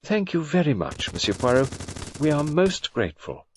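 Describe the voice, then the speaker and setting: Man voicing fussy plaintive lady, raised pitch. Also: sing-song, moderate pace, a little hiss or hum. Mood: gushing gratitude. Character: fussy, self-pitying upper-class married woman, gracious.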